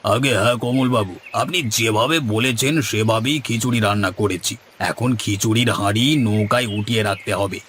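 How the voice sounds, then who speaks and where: A man voicing a genial village man in an animated cartoon, with music behind him. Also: lowish voice, normal speed, hardly any pauses, slight background noise, natural voice. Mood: obliging. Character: genial village men, mainly easygoing middle-aged headman.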